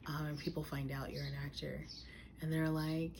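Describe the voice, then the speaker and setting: A woman actor chatting casually as a podcast co-host, relaxed and full of fillers. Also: sits low, normal speed, slight background noise. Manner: relaxed, trailing, filler-heavy phrasing. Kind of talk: casual chat.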